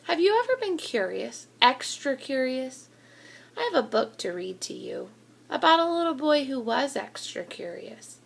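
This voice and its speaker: A woman with a high voice reads a children's storybook aloud. She takes her time, with a deliberate, animated storytelling delivery that puts expressive emphasis on the words. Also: creaky, breathy edge. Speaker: school reader of children's books. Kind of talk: expressive children's picture book read-aloud.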